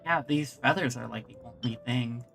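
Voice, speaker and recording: A streamer talks through a game in a casual, think-aloud way, often muttering to themselves. The voice sits at a middling pitch, and game music plays clearly underneath it. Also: normal speed. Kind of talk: casual live gameplay commentary. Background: video game music.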